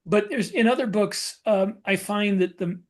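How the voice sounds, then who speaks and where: A man co-hosting a podcast and talking shop as a novelist, explaining casually in a halting way and reworking his phrases. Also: high voice. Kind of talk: casual discussion of writing military science fiction.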